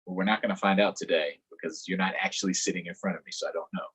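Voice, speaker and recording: A man's voice: sits low, fairly even intonation, racing, fairly bright tone, breathy edge. A man hosting a storytime, reading to children and adding playful asides to the listeners. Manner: playful, addresses young listeners. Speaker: storytime host, reader. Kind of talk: animated children's read-aloud with asides.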